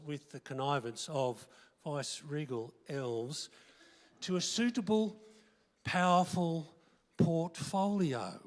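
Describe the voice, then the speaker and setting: A man playing an earnest, bumbling political schemer who stumbles through rhymed lines in a radio play. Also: on the high side. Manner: halting, stumbling over words. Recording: echoey hall, live stage.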